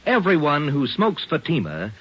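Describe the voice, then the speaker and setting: A male announcer pitches a product on old radio. His voice swings widely and has a rough, unclear edge. The delivery is emphatic, on a dull vintage recording. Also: huge pitch swings, normal speed. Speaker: commercial announcer. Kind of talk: old-time radio advertising read.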